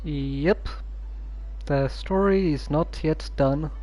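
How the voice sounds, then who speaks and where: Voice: medium pitch, dark tone, faint music behind, low-quality recording. A streamer giving casual, musing commentary while playing a story game. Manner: slow, musing.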